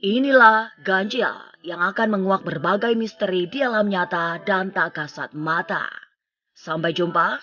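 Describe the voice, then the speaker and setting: A woman's voice: mid-range voice, measured pace, fairly bright tone, dull audio. A woman delivering scripted narration as the narrator of a paranormal television show.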